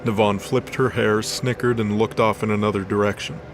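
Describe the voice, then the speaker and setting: A man's voice: lowish voice, level tone, moderate pace, a little hiss or hum. A man reading scripted story narration for an audio drama.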